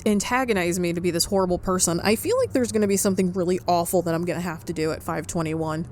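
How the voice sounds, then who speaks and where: Lower-pitched woman casually playing a game. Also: quick pace, darkish tone. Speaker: game streamer. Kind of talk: casual gameplay commentary. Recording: faint background noise and game ambience.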